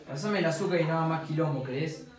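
A man's voice: a little high, quick pace, background noise, natural voice. A man with an Argentine accent playing a curt, bossy soldier in a war dugout, in stage play dialogue. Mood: stern. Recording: echoey room, distant microphone.

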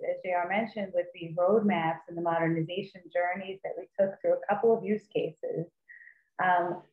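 Woman on a tinny, echoey video call. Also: medium pitch. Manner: earnest, explanatory, repeats for emphasis. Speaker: data leader panelist. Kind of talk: conference panel talk on video call.

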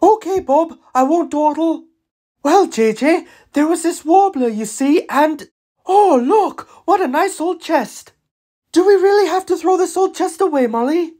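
A man's voice: very high voice, animated, measured pace, warm tone. A man voicing a dreamy, eager cartoon skip lorry that rambles and repeats itself.

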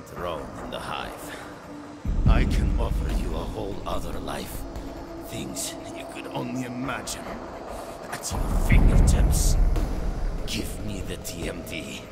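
An actor playing a calm, menacing, persuasive military dictator in a video game, measured and condescending. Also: male voice, deep voice, gravelly, exaggerated voice. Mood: coaxing. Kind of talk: video game cutscene acting. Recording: loud dramatic music behind.

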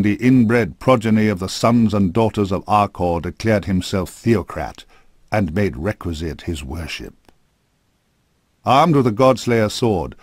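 Male narrator for fantasy game cutscenes; lowish register, grave formal storytelling, dry studio sound. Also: darkish tone, no echo. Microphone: close studio microphone.

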